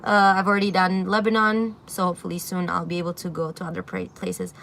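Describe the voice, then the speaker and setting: A young woman from Syria hosting a casual livestream Q&A, chatting loosely and reading viewer comments aloud, filling pauses with frequent ums and likes. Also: fairly low pitch, flat delivery, creaky, a bit bright, a little hiss or hum. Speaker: livestream host. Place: outdoor balcony with greenery. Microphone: phone camera at arm's length.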